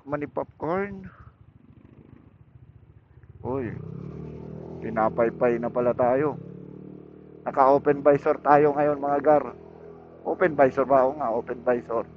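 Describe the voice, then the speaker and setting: A man chats casually and slangily to his viewers as a motovlogger, talking while he rides. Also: mid-range voice. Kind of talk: casual vlog chat while riding. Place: outdoors on a motorcycle at night. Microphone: intercom microphone inside a helmet. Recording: muffled helmet intercom mic, wind and engine noise. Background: vehicle and wind noise.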